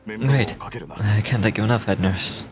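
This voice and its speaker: A man's voice: on the low side, a little slow, over music, close to an everyday voice. An actor playing a dutiful, courteous male guard in a video game. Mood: earnest, assuring.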